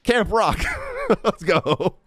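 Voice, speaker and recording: A woman's voice: mid-range voice, wildly swooping pitch, drawn-out words, fairly bright tone. A woman gaming streamer giving casual, playful commentary in a slangy, exclamatory way. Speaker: video game streamer.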